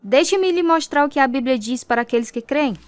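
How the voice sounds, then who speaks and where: A woman's voice: sits high, animated, a little fast. An actress with a Brazilian accent playing a devout, earnest young convert telling her story in a radio drama. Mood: earnest, firm. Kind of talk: radio drama narration and dialogue.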